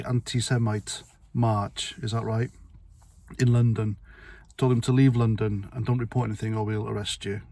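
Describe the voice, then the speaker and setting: A man's voice: on the low side, vocal fry, mellow. Blunt, rambling middle-aged man. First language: Welsh. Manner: blunt, rambling, sweary, with restarts. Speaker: vlogger. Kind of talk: casual opinion monologue to camera.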